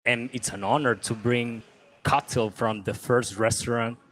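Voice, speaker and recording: Man from Venezuela, bartender, polite, halting, searches for words, restaurant bar. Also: mid-range voice, steady tone, fairly bright tone. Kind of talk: casual interview.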